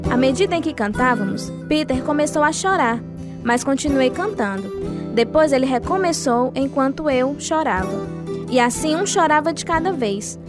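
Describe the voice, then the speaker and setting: Brave prisoner's wife, high voice, earnest, devoted, Brazilian accent, radio drama, guitar underneath. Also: female voice, expressive, normal speed. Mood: sorrowful. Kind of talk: dramatized radio testimony narration.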